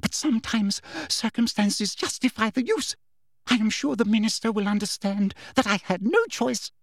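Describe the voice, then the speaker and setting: A man's voice: high-pitched, normal speed, no echo, clean studio sound, voice pushed up. A man voicing a cruel, prim middle-aged witch official with a cold, menacing manner.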